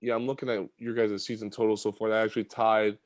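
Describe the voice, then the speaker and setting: A man hosting a high school basketball podcast, asking long questions padded with fillers. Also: flat delivery, quick pace, dry recording, studio quality. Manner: chatty, filler-heavy questions. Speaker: podcast host. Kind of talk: sports interview podcast.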